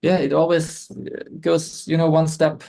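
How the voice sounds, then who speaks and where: Man, middle-aged, gravelly, slightly high voice, hesitant, many restarts, podcast guest. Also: monotone, measured pace, warm tone. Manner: hesitant, frequent restarts and fillers. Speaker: guest photographer. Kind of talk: podcast interview.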